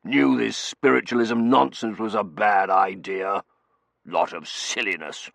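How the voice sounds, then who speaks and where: A man voicing a gruff older Victorian colonel in an audiobook, barking in clipped fragments that drop their subjects. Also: fairly low pitch, fairly even intonation, a little slow, a bit bright, no echo. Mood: indignant, scornful. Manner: clipped shorthand speech, dropping sentence subjects. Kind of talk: audiobook character voice.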